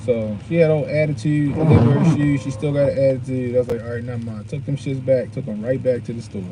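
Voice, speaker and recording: A man's voice: mid-range voice, quick pace, poor audio. Blunt, profane man in his forties. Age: 40s. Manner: blunt, profane and animated, full of slang. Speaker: podcast host. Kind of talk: casual storytelling monologue. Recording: loud noise behind the voice.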